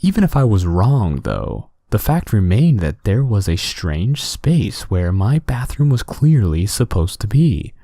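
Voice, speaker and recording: A man's voice: lowish voice, huge pitch swings, measured pace, vocal fry, hardly any pauses, mellow, no echo, clean studio sound. A man narrating a creepy first-person story in a relaxing bedtime-story style. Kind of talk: scripted horror story narration, relaxing ASMR-like bedtime reading.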